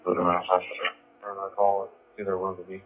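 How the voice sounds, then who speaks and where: A man's voice: sits low, completely flat delivery, fast talker, husky, narrow, tinny audio. A man, a police officer in the field, answering in short radio messages over a scanner.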